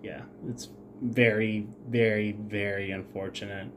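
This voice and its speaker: A man co-hosting a podcast, telling stories conversationally in a halting, informal way with casual swearing. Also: on the low side, flat delivery, fairly bright tone, slight background noise. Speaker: podcast co-host.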